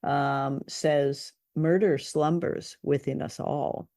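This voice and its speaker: A woman's voice: deep voice, steady tone, slow pace, mellow, dry recording. Woman co-host in a dry, close recording. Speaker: podcast co-host. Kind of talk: casual unscripted chat.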